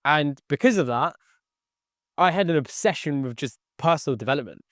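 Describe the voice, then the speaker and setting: A man's voice: on the high side, lively intonation, brisk, a bit bright, no echo, studio quality. A young man whose first language was Tagalog, telling his life story as a podcast guest in an earnest way, repeating phrases and saying "okay" often. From born near London, raised in the Philippines. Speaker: guest, sales entrepreneur. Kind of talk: podcast interview.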